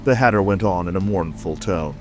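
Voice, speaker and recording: Low-voiced man narrating a story. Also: a little fast. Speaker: audiobook narrator. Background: music.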